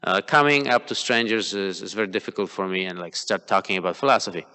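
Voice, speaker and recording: A man's voice: low voice, quick pace, bright, forceful tone, smooth. A man whose first language is Polish gives a devotional class, speaking hesitantly with many fillers and restarts. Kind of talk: devotional class talk, personal examples. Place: temple hall. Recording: long hall reverb.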